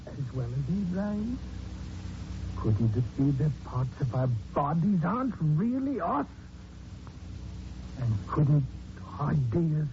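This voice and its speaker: An actor playing a big, strong police sergeant who is secretly a mad strangler, halting and menacing, in 1940s radio drama style. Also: male voice, on the high side, mellow. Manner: halting, brooding, turning menacing. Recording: dull noisy 1940s radio recording.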